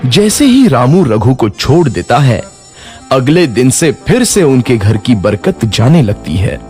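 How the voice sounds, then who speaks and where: A man's voice: mid-range voice, sing-song, a little slow, darkish tone, faint music behind. A man narrating a scripted moral story for children.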